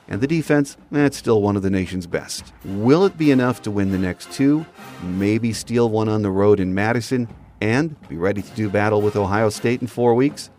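Low-pitched American man hosting a sports podcast. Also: darkish tone. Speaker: podcast host. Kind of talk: scripted sports commentary monologue.

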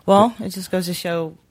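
A woman in a casual panel discussion, agreeable and often affirming the others. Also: fairly low pitch. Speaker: show panelist.

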